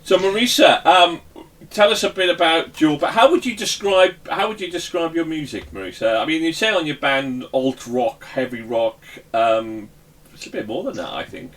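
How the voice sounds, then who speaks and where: A man's voice: fairly high pitch, lively intonation, moderate pace, a bit bright, far from the mic. A man hosting a music show in a casual, chatty interview style, with a gushing, emphatic way of talking.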